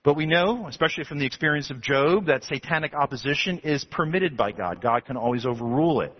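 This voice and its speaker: Husky, animated man preaching a sermon. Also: on the high side, fast. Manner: animated, rising to emphatic preaching. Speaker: pastor. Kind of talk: expository sermon. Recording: dull lo-fi sound.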